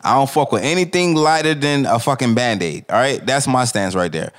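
A man's voice: medium pitch, animated, a little fast, a bit bright. A young man co-hosting a podcast in loose, profane casual chat, returning again and again to "you feel me". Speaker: podcast co-host.

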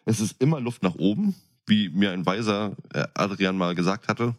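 A man's voice: fairly low pitch, animated, normal speed. A man co-hosting a podcast, chatting casually in a playful, joking way. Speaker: podcast co-host. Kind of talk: casual chat.

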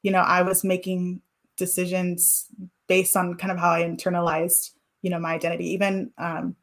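A woman in her thirties speaks as an interview guest and reflects on her past. Her voice sits on the low side for a woman. She talks thoughtfully and leans often on "like" and "you know.". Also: monotone, moderate pace. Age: 30s. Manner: thoughtful, filler-heavy with many "like"s.

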